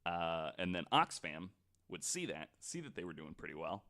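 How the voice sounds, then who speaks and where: A man with a fairly low voice hosts a podcast, explaining researched material in conversational style. His delivery is halting and informal, as if talking to friends across a table. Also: big pitch swings, fairly bright tone. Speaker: podcast host presenting a researched story. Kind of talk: casual explanatory podcast talk.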